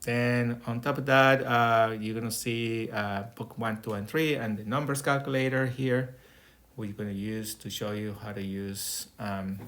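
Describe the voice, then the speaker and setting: A middle-aged Colombian man with a Colombian accent teaches a beginners' Spanish class online. He explains each step slowly and keeps checking in with "okay?". His voice comes through a laptop mic on a video call from a small room. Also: mid-range voice, fairly even intonation, a bit bright. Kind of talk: online lesson with screen share. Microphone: webcam or laptop mic on video call.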